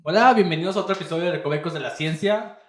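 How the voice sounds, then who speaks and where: A man's voice: on the high side, rapid-fire, echoey room, soft background music. A man hosting a science podcast, greeting viewers and asking chatty, friendly questions. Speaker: podcast host. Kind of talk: casual interview hosting.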